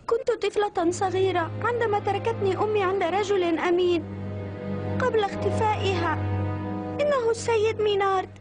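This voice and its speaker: Young cartoon girl,, extremely high pitch, sweet, polite, earnest, heavy background music. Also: a little fast. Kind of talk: dubbed cartoon acting. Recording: loud music under the voice.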